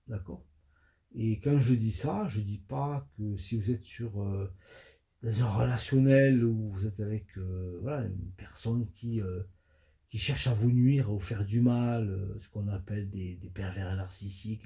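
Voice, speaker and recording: A man with a cold and a stuffy nose, talking as a spiritual coach in a halting audio monologue full of fillers and restarts. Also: on the low side, mellow. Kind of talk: hesitant spoken audio monologue.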